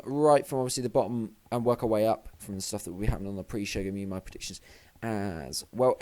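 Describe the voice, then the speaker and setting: A young man, slightly hoarse, rambling and swearing casually as he previews a wrestling show. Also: medium pitch, a little mellow. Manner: rambling, sweary, self-deprecating. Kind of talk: casual vlog commentary.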